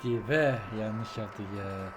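A man's voice: lowish voice, very slow. A man bantering casually as a co-player in a gaming video. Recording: clear music behind the voice. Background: background music.

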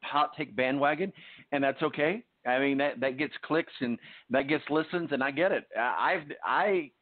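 A man hosting a sports radio show, talking casually in a halting, chatty way. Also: mid-range voice, dry recording. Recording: phone-like, narrow-band dry line.

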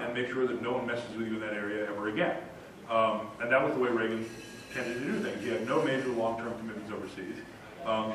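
A man argues his point quickly and firmly on a conference panel. The sound is roomy and distant, with faint hiss. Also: mid-range voice. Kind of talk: panel discussion. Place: large conference room. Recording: echoey room, far from mic.

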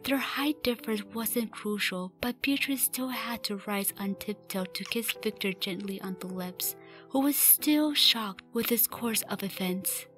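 A woman's voice: mid-range voice, measured pace, a bit bright, soft background music, natural voice. A woman reading scripted story narration for a fan radio drama.

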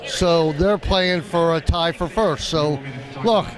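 A man in his sixties chats casually about sports as a co-host. His voice is high for a man, with clear crowd noise around him in the arena. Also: takes it slowly. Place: on the court of a large indoor arena. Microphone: handheld microphone.

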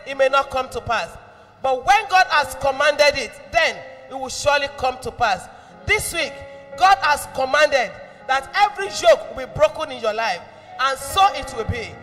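A middle-aged woman leads prayer in a large church hall, her shouted declarations rolling out in rhythmic repeats. Long reverb trails her voice. Music plays clearly underneath. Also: sits high. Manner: shouting, rhythmic, emphatic repetition. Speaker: prayer leader. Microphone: handheld mic.

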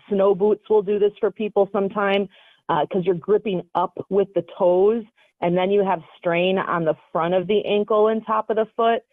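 A woman's voice: medium pitch, no room sound. Chatty woman on a tinny phone line. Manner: energetic, chatty, self-correcting. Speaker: radio show guest, foot health coach. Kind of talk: casual explanatory radio talk.

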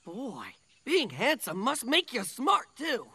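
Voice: medium pitch, huge pitch swings, slow pace, a crowd in the background, full character voice. An actor voicing a friendly, dim-witted jungle man in a cartoon, naive, eager and sometimes hesitant. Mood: naive delight. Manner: naive, hesitant, speaks of himself in third person. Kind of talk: animated cartoon acting.

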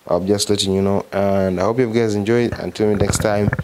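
Young Tanzanian man, low voice. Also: steady tone, a little fast, darkish tone, clear, resonant tone. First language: Swahili. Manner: informal, repeats and corrects himself. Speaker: vlogger. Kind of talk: casual explanatory vlog. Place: living room, seated on a sofa. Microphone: handheld microphone.

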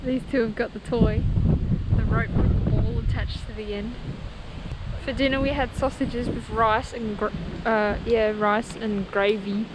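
A woman's voice: fairly high pitch, fairly even intonation, measured pace. A young woman narrating a casual camping vlog in a chatty, playful way, filmed outdoors at a rural campsite on an action camera. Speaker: vlogger. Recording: loud wind and outdoor noise.

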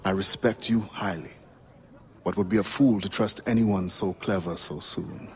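Low-voiced, dignified Caribbean assassin. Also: male voice, a little mellow. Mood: respectful. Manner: formal, measured, composed. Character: steadfast, dignified former-slave pirate turned assassin. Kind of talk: dramatic video game acting.

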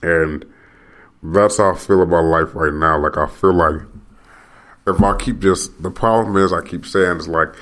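A young American man with a very low voice hosts his own podcast alone. He rambles restlessly, circles back over the same phrases and swears now and then as he rants. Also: normal speed, a bit bright. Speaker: podcast host. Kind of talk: rambling solo monologue.

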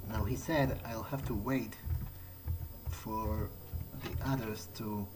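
A man's voice: on the low side, fairly even intonation, slow pace, noisy background. A man improvising in-character commentary as he plays a video game, hesitant and musing. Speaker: gamer playing in character. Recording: voice-over with game music behind. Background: video game music.

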